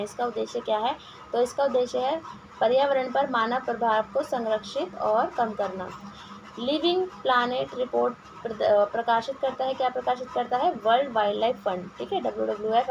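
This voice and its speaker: A hoarse woman with a sore throat, teaching exam-prep questions and answers with frequent hesitations and restarts, mixing English words into her talk. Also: a little high. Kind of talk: reading quiz questions and answers, casual explanation. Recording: lo-fi home recording with noise.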